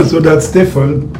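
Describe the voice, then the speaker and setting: A man from Germany with a German accent, an actor talking in a casual interview in short, halting phrases. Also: high-pitched, a little hiss or hum. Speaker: actor guest.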